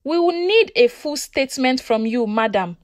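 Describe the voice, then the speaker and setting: A Nigerian-accented woman voicing a calm, stern male police inspector in a folktale, measured and official. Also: high voice. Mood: stern, grave.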